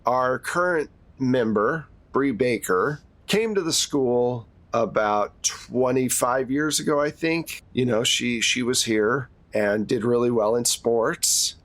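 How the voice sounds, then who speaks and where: A man playing a weary school principal in their sixties, frank and gently exasperated, talking haltingly in a tabletop roleplay. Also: medium pitch, dry recording. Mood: reminiscing. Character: weary sixty-two-year-old school principal nearing retirement, frank.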